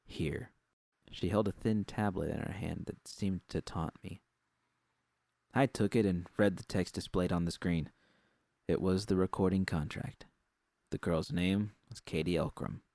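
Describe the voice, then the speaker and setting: A man's voice: deep voice, steady tone, measured pace, vocal fry, darkish tone, dry recording, clean studio sound. A man reading a scripted first-person story as narrator.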